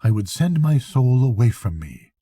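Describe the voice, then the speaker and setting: A man's voice: mid-range voice, very animated, normal speed, warm tone, husky, no echo, clean studio sound, voice pushed up. A grandfather playing an earnest, determined young fisherman in a bedtime story, in a declaiming manner. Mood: earnest, resolute. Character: young fisherman, eager, earnest, determined to lose his soul.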